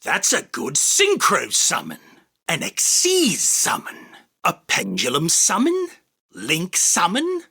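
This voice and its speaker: A man voicing an arrogant, theatrical, self-proclaimed king of card duels in an anime game, with a husky, forceful, declamatory delivery. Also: high-pitched, wildly swooping pitch, slow pace, exaggerated voice. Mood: cool, appraising. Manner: pompous, boastful, declamatory. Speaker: voice actor. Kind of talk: anime game character battle lines.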